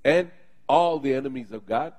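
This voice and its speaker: A male pastor in the middle of a sermon, his voice sitting at an ordinary pitch. He preaches and prays with fervor, pushing into emphatic surges. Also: moderate pace, darkish tone. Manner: fervent, emphatic preaching with bursts of intensity. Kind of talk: sermon and congregational prayer.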